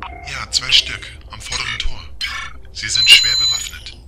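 A man playing a calm, polite talking car computer in an audio drama, formal and precise. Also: mid-range voice, unhurried, over loud music, natural voice. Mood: calm, alert. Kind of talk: German fan audio drama acting.

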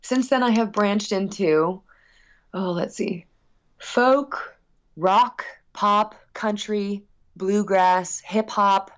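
A woman's voice: medium pitch, very slow, highs cut off. A woman guest chatting casually in an interview about her work as a musician, rambling expressively with frequent asides.

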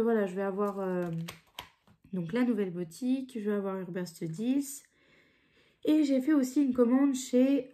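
A woman's voice: mid-range voice, mellow. A woman with a blocked nose from a cold, chatting casually and hesitantly at her craft table, full of fillers and restarts. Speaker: craft channel host. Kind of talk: casual chat while crafting. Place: home craft table, indoors.

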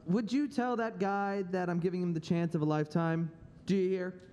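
A man's voice: steady tone, normal speed, a little mellow, lightly acted. A young man playing a brash, jovial businessman in a live radio play. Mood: boastful, eager. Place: large auditorium stage, standing at mic. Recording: reverberant auditorium, noisy rumble.

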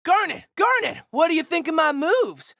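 Casting: goofy teen boy adventurer for a fighting game, high voice, wildly animated, brash and big-hearted. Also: normal speed, creaky, no echo, studio quality, heavily acted. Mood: admiring. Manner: exuberant, slangy, emphatic delivery. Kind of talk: video game character battle lines.

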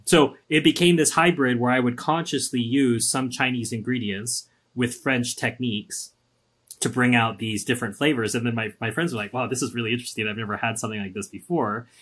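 A man's voice: medium pitch, fairly quick, breathy edge. A man from Singapore, a podcast guest, talking casually and acting out quoted people with voices. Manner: animated, acts out quotes with voices. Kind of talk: podcast interview, casual storytelling.

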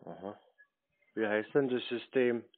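A man with a deadpan, repetitive manner, chatting as if slow to understand while answering calls. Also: lowish voice, slight background noise. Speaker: scam baiter answering calls. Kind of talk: deadpan phone prank chat. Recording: narrow-band phone-like sound.

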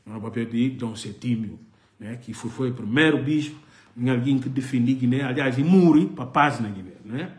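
A man's voice: mid-range voice, a little fast, darkish tone. Impassioned middle-aged man. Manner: impassioned, gesturing, repeats phrases for emphasis. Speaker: political commentary host. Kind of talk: live political commentary to camera. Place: living room sofa, indoors. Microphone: phone camera at arm's length.

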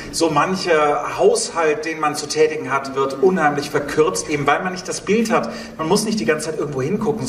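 A man in his thirties, a producer of audio dramas, talking on a panel on stage. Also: mid-range voice. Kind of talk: panel talk. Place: on stage in a dark event hall. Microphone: handheld microphone, heard through room. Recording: roomy hall sound, distant, faint noise.

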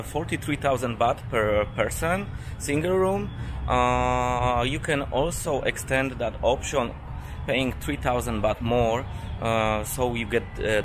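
A man in his thirties, a native Polish speaker, talking to a handheld camera in halting English with many fillers on an outdoor hotel rooftop. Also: mid-range voice. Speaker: travel vlogger. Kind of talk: casual vlog talk to camera. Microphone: handheld camera at arm's length. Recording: outdoor city noise behind.

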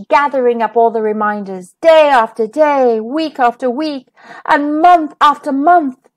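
A woman reading a children's picture book aloud as a storyteller, with expressive delivery that draws out and stresses key words. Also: high voice. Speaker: storyteller, host of a children's read-aloud show.

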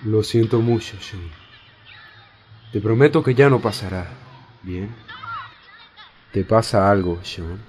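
A man playing a gentle, concerned father in a Latin American Spanish video game dub. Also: fairly low pitch, slow pace, pauses often. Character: gentle, apologetic father of a young boy. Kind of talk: dubbed video game drama dialogue. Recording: noisy outdoor ambience.

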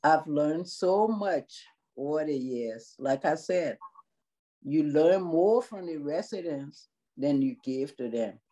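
A woman's voice: low voice, animated, warm tone, low-quality recording. A middle-aged woman from Liberia with a Liberian accent, a long-term care worker speaking earnestly in a video-call interview. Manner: slow, earnest, measured. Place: small room indoors.

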